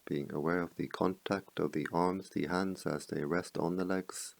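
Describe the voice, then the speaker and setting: A man leading a guided meditation as a teacher, speaking in slow, measured phrases with pauses between them. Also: deep voice, steady tone, vocal fry, no room sound.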